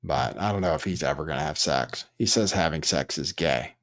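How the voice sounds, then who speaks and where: A man's voice: very deep voice, brisk, vocal fry, a bit bright. Dry, offhand male livestream host. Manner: offhand, dry, rambling asides. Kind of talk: casual live commentary.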